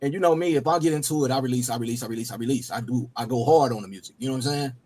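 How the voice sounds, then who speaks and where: A man's voice: medium pitch, very fast, darkish tone. A man, hosting a loose, rambling casual chat and often repeating himself. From Cincinnati area. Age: 40s. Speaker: rapper and host.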